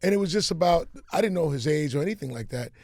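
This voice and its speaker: A middle-aged man with a raspy voice, his pitch sitting a touch above most men's. He tells stories freely and at length as an interview guest. The studio sound is close and dry. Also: fast, no echo. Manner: animated storyteller, talks freely. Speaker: music executive, guest. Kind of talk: casual interview talk.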